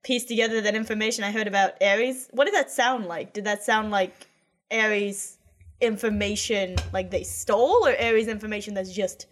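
Young woman player, chatty, thinks out loud, casual. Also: mid-range voice, moderate pace, a little hiss or hum. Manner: chatty, thinks aloud, laughs. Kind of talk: playing a tabletop game.

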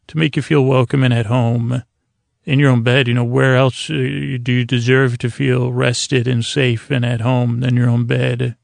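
A man hosting a bedtime podcast, rambling in a slow, languid, gentle and reassuring way with long, meandering pauses. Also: mid-range voice, creaky, no room sound, clean studio sound. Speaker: podcast host.

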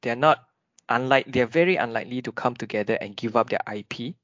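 A man's voice: mid-range voice, brisk, fairly bright tone, dry recording. A man in a casual discussion, rambling with many fillers and restarts. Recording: dull dry recording.

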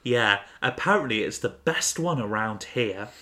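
A man's voice: medium pitch, lively intonation, unhurried, pushed and bright. A man co-hosting a comedy podcast, reading his own old fiction aloud and often laughing at his writing between bits of banter. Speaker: podcast co-host.

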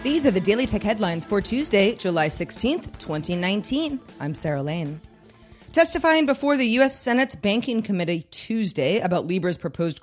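Woman reading scripted news headlines. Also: mid-range voice. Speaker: news podcast host.

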